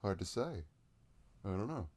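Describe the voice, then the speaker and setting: A man's voice: fairly low pitch, sing-song, unhurried, darkish tone, no echo. A man playing a sweet, laid-back boyfriend in an intimate ASMR roleplay, rambling casually and playfully after movie night. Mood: musing.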